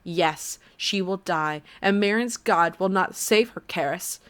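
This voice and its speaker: A woman's voice: lowish voice, a little slow, a bit bright. A woman voicing a seething, contemptuous demon who threatens and mocks. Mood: seething menace. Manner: seething, menacing.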